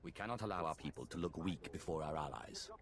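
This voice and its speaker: Deep gravelly sith lord in a game, cold and bossy, crowd chatter behind. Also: male voice, animated, fast. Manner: curt, imperious. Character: menacing male Sith lord, cold and commanding.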